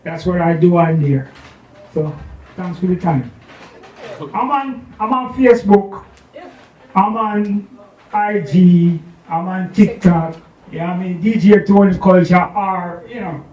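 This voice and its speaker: A Jamaican man with a notably high voice talks casually and haltingly to a room. The sound is dull and picked up from a distance. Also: a little mellow, echoey room. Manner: halting, repeats words, conversational tags. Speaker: DJ and internet radio show host. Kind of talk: casual self-introduction to an audience.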